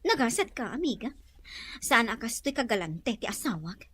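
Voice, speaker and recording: A woman's voice: a little high, sing-song, moderate pace, fairly bright tone, a little hiss or hum. A woman with an Ilocano accent playing an envious, grumbling friend in a radio drama. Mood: envious, chatty. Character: envious woman friend grumbling about her stingy husband.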